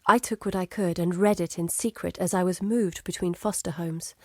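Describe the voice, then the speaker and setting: A woman playing an educated, curious, guarded map-maker in exile in a video game, speaking in a measured, earnest, articulate way. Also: on the low side, a little mellow, dry recording, studio quality, close to an everyday voice. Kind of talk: scripted video game character dialogue. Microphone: close studio mic.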